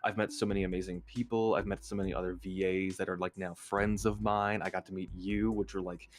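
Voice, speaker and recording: A man chatting casually as a guest on a livestream, earnest and informal, speaking in halting bursts. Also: low-pitched, flat delivery. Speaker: YouTube creator and stream guest.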